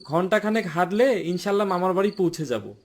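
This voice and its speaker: A man's voice: high voice, expressive, fast. A man playing a young traveler in a horror cartoon, exclamatory and easily rattled. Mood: annoyed, skeptical. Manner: exclamatory, stammers when frightened. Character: weary, skeptical young male traveler who turns terrified.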